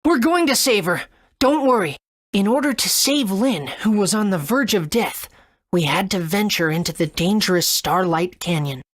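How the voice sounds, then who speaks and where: A woman's voice: medium pitch, lively intonation, normal speed, natural voice. A woman voicing an earnest, eager young boy hero in a video game. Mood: determined. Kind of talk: video game character acting and narration.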